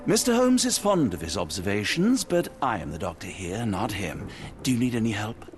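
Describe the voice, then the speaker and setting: Detective's companion: gentleman doctor, courteous, dryly witty, animated intonation, clear background noise. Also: lowish voice, normal speed. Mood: wry, apologetic. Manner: polite, dryly wry. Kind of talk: video game cutscene acting. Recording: noisy background ambience.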